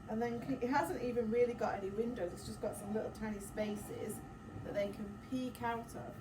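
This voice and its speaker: A woman's voice: a little high, steady tone, fairly quick, reverberant. Female primary teacher, simple explanations and questions for little ones, recorded at a distance in a noisy room. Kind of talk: interactive story-time lesson for young children. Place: echoey classroom with children.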